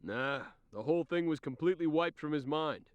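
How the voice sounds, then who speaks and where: A man's voice: fairly high pitch, a little fast, no echo, highs cut off, natural voice. An actor playing a frank, laid-back male ally in a video game, casual and matter-of-fact. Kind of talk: video game cutscene acting.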